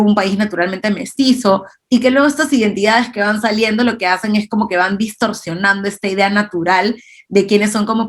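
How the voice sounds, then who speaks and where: A Peruvian woman speaking Spanish with a Peruvian accent, explaining her ideas thoughtfully in a conversational discussion as a guest. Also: a little high. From Peru. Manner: thoughtful, explanatory, with frequent tag questions.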